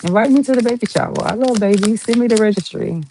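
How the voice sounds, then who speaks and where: A woman's voice: medium pitch, fast, noisy background. Chatty Southern woman reading tarot. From Southern United States. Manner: chatty, rambling, playful and lively. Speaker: tarot reader.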